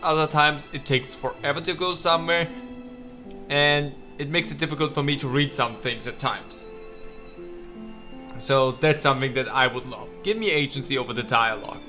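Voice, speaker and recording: A man hosts a casual let's play in a bright, slightly high voice. His pace is very slow and halting as he thinks aloud. Faint music sits behind him. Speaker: gaming video host.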